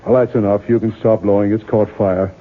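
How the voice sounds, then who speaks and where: A man's voice: lowish voice, little pitch movement, brisk, natural voice. A male actor playing a weary, bitter novelist, curt and insistent, in 1970s radio drama style. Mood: dry, dismissive. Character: weary, bitter male novelist haunted by his own creation. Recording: muffled, noisy 1970s radio broadcast recording.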